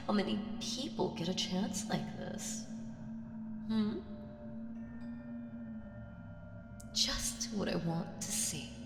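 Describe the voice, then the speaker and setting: In a long, cavernous reverb over music, a woman performs an ancient evil female entity for an audio roleplay. She speaks very slowly, teasing and condescending, drifting between seductive murmur and breathy whisper. Menace sits under every playful phrase. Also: lowish voice. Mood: teasing, tempting.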